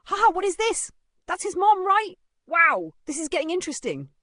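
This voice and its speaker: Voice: sing-song, moderate pace, pushed and bright, dry recording, clean studio sound, heavily acted. A narrator voicing gossiping, mocking teenage schoolgirls in a dramatized story. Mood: amused. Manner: high, gossipy.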